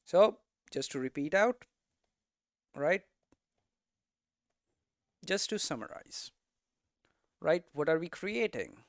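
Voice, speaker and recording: Clear-voiced man explaining casually. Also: fairly high pitch, expressive, slow pace, pauses often, no echo, clean studio sound. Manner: thinks aloud, often checks in with rhetorical questions. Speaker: game developer hosting a tutorial series. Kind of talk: casual, unscripted explanatory talk.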